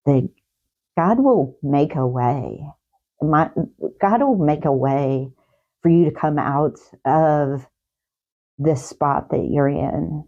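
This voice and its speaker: A woman with a low, mellow voice speaks as a guest giving heartfelt testimony and encouragement rooted in faith. She goes haltingly, often repeating her words. The sound is a little dull. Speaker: talk show guest.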